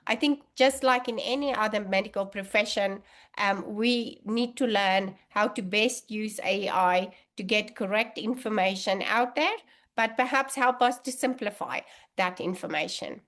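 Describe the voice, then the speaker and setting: A woman's voice: medium pitch, a little slow, a bit bright. A middle-aged woman whose first language is Afrikaans, talking casually as an interview guest with many fillers and restarts. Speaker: guest allergy researcher.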